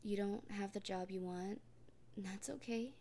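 A woman in a soft, gently reassuring comfort roleplay, often speaking in a whisper. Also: mid-range voice, unhurried, a little hiss or hum. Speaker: roleplay performer speaking as a partner.

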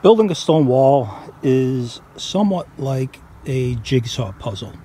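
A man's voice: medium pitch, expressive, measured pace, warm tone. A man giving a casual, folksy how-to narration in a plain-spoken way, recorded outdoors on a handheld camera. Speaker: farm vlogger. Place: outdoors on a farm property. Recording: outdoor noise behind the voice.

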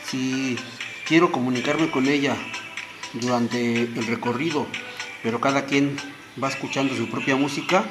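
A middle-aged man presents a hands-on demonstration in a small room at home. He has a mid-pitched voice and speaks deliberately, going step by step in short phrases, with some music in the recording. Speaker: product demonstrator, host. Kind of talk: hands-on tutorial narration.

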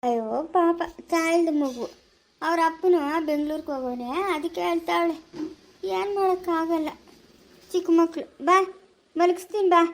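A woman's voice: extremely high pitch, steady tone, normal speed, slight background noise. A woman or girl voicing a fussy, hard-of-hearing old grandmother who frets and calls out. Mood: fussing. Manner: fretful, scolding, calling out loudly.

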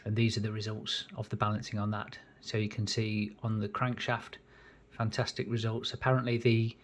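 A man calmly explaining his engine rebuild step by step while he works at a cluttered workshop bench. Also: on the low side, slight background noise. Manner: methodical, plain explanatory, pauses mid-phrase. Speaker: home mechanic rebuilding an engine. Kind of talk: hands-on workshop walkthrough.

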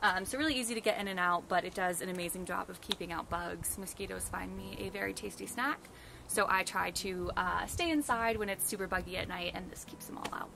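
A woman's voice: on the low side, a little fast. A young woman casually showing her camper to the camera. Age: young adult. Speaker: van owner giving a tour. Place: outdoors beside a camper van in the woods. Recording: clear outdoor ambient noise.

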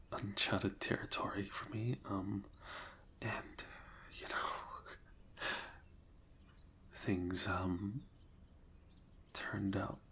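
A young man speaking softly and hesitantly in an improvised ASMR roleplay, often dropping to a whisper. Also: fairly low pitch, creaky.

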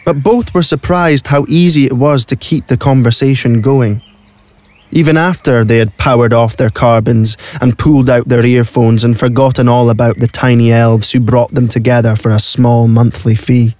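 A man's voice: medium pitch, steady tone, moderate pace, darkish tone, no echo. A man narrating a scripted story for an audio play.

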